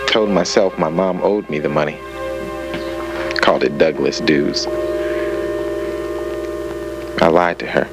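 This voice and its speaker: A man's voice: extremely low pitch, normal speed, loud music behind the voice. A man playing a streetwise young former crack addict, giving an emphatic, colloquial confession in an educational film. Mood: regretful. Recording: noisy old educational film soundtrack.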